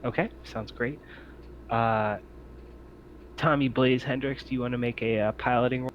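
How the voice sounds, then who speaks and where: A man's voice: mid-range voice, steady tone, normal speed. A man running an online tabletop game as game master, speaking casually with hesitant, encouraging phrasing. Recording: muffled, noisy home mic on online call.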